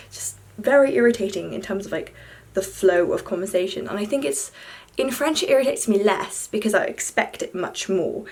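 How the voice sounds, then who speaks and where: A young woman from Belgium, chatting in an unscripted vlog, talking fast with lots of fillers. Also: mid-range voice. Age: young adult. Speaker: vlogger.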